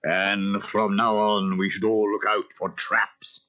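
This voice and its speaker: A man's voice: fairly low pitch, little pitch movement, slow, bright, forceful tone. A man playing a grave, dour, deferential manservant in a cartoon audio story. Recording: dull lo-fi cassette transfer.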